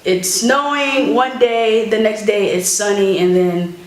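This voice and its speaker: A woman's voice: mid-range voice, fairly even intonation, takes it slowly. Young woman vlogger, echoey room. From Florida, United States. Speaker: family vlog host, soldier. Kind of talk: casual chat to viewers, Q&A. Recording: roomy echo, faint background noise.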